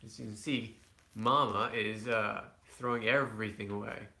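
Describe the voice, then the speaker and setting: A man's voice: on the low side, steady tone, moderate pace, pushed and bright. A man reading a picture book aloud to his children, with conversational asides. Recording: echoey room.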